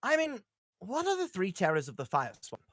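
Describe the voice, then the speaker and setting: A woman's voice: medium pitch, wildly swooping pitch, fairly quick, dry recording, clean studio sound. A woman chatting and reading a part at a live table read, joking and theatrical in manner. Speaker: cast reader at a live streamed table read. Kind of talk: casual chat and playful script reading.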